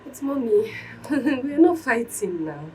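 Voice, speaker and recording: An actress playing a meek, forgiving young stepdaughter in a melodramatic film, earnest and deferential. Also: female voice, a little high, big pitch swings, unhurried, darkish tone. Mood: defensive. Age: young adult. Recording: distant mic, background noise.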